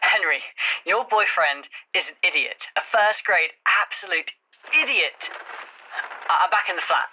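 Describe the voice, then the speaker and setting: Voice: medium pitch, expressive, a little slow, sharp tone, a little hiss or hum, rough recording. An actor playing an impulsive, wry young friend who rambles and stammers through a voicemail in an audio drama. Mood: wry reassurance.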